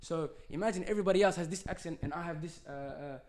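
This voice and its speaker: Young Australian man, earnest, persuasive, slightly high voice, fast. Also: a little mellow. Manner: earnest, persuasive, builds arguments. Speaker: podcast host. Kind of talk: casual chat.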